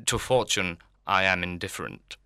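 A man's voice: deep voice, normal speed, sharp tone, clear, resonant tone, no room sound, studio quality. A man playing a pompous, self-satisfied clergyman in a literary audiobook, speaking in formal, long-winded sentences. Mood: self-important. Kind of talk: dramatised literary audiobook reading.

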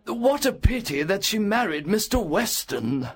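A man voicing a fretful, kindly elderly father in an audiobook, with a slow, mournful drawl. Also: high-pitched, very animated, breathy edge, voice pushed up, heavily acted. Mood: plaintive, worried. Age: older. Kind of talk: audiobook character acting.

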